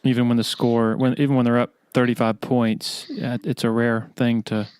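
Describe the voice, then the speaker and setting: Smooth-voiced male podcast host. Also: mid-range voice, level tone, normal speed, darkish tone, no room sound, studio quality. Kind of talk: casual sports interview.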